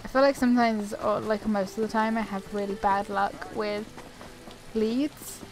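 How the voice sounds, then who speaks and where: A woman's voice: medium pitch, steady tone, measured pace. A woman giving casual, chatty commentary as she plays through a video game. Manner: chatty, reads dialogue options aloud. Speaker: gaming video creator. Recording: game music playing behind voice. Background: game music.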